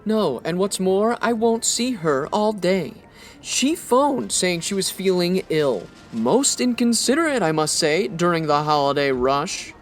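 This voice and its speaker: A woman's voice: on the low side, sing-song, a little slow. A woman playing a curt, sarcastic veteran department store clerk in a comedy audio drama, haughty and dry. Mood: curt, irritated.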